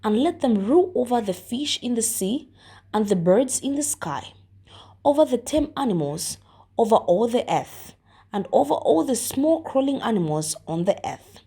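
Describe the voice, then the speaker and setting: A woman's voice: fairly high pitch, lively intonation, moderate pace, mellow, breathy edge. A woman reading scripture aloud as scripted narration. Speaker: volunteer scripture reader.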